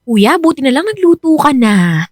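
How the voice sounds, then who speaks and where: High-pitched young Filipina little sister. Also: female voice, very animated, moderate pace, no room sound, studio quality. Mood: chirpy, pointed. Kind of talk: Filipino radio drama acting.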